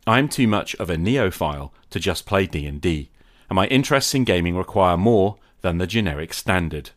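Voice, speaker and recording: Low-voiced man hosting a reflective podcast. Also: animated, normal speed, no echo, clean studio sound. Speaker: podcast host.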